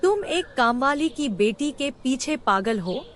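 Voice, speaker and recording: A woman's voice: sits high, expressive, unhurried, slight background noise. A woman voicing a stern, proud, class-conscious queen mother in a cartoon story. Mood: angry.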